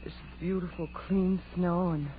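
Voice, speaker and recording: An actress playing a prim, sensible young woman with a proper, gently scolding manner in a 1940s radio drama. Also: lowish voice, fairly even intonation, measured pace, lightly acted. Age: young adult. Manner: proper, matter-of-fact. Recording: noisy, tinny 1940s radio recording.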